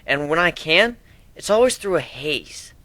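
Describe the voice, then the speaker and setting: A man acts out a kind, wistful griffin outsider in a bright, fairly high voice. He sounds conversational and reflective, a little hesitant, with expressive pitch. It is a dramatic reading of a cartoon fan story. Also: measured pace, no room sound, full character voice. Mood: wistful, calm.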